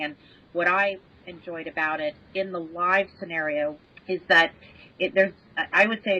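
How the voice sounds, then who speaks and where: A woman speaking as a podcast guest, explaining and recounting in a conversational way. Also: sits low. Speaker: podcast guest, medical association president. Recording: dull, band-limited call audio.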